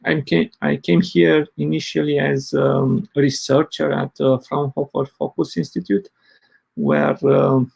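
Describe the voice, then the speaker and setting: Brief: man in his forties from Romania, husky and halting, presenting at a tech conference. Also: mid-range voice, darkish tone. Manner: hesitant, many fillers. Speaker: open source project lead presenting. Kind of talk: conference talk.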